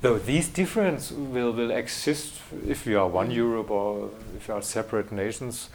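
A man's voice: mid-range voice, normal speed, a little mellow, a little hiss or hum. German man searching for English words. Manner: hesitant, searching for English words, many fillers and restarts. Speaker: graphic designer interviewee. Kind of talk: interview answers.

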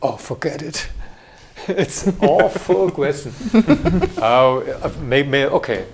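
A middle-aged German graphic designer answering interview questions in English with a German accent, hesitant and full of fillers and restarts. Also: male voice, medium pitch, expressive, slow pace, creaky, slight background noise.

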